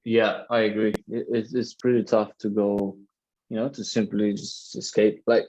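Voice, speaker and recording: A man's voice: fairly low pitch, level tone, moderate pace, darkish tone, lo-fi sound. A man from Romania chatting casually, earnest and rambling, often tagging "right?" and "you know". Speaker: NFT community host. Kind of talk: casual chat.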